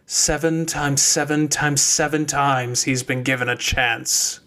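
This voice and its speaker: A man's voice: medium pitch, steady tone, pushed and bright. A man voicing a stern, formal mage. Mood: hard, formal. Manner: measured, formal, unyielding. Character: stern, controlled adult male mage, cold and accusing.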